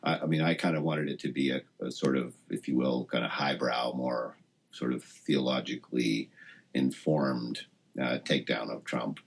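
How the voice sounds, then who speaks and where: A man being interviewed as a guest, speaking reflectively and haltingly, often rephrasing as he searches for words. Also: extremely low pitch, fairly even intonation, gravelly, husky. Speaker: interview guest, writer of a satire piece.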